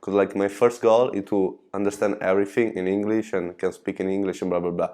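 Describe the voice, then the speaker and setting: A young man speaks second-language English in a relaxed, offhand way. He waves ideas away and lets his sentences trail off. His voice is slightly low. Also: fairly quick. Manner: casual, trails off with "blah, blah". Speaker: exchange student. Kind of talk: documentary interview answer.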